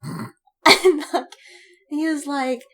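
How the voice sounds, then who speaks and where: A woman's voice: sits high, steady tone, drawn-out words, bright, forceful tone. A woman co-hosting a podcast, chatting casually and retelling stories with mock-dramatic acted-out quotes. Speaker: podcast co-host. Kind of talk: casual fan chat, animated retelling.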